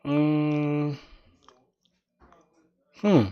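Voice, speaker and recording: A man's voice: on the high side, level tone, very slow, clear, resonant tone, dull audio. A young man from South Sudan, a self-taught programmer, thinking aloud while he codes, reading documentation and talking casually. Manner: casual, musing, reads docs aloud, says "bro".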